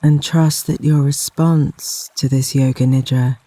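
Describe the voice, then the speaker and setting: A man leading a guided yoga nidra meditation in a gentle, soothing, unhurried manner with long pauses between phrases. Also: mid-range voice, steady tone, no echo. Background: flowing river water sounds.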